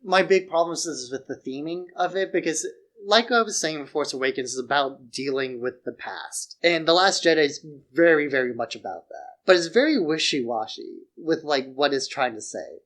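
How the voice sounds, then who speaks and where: A man's voice: a little high, expressive, moderate pace, hardly any pauses, a bit bright. A man co-hosting a podcast in a casual film discussion, rambling and opinionated, often saying "like". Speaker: podcast co-host.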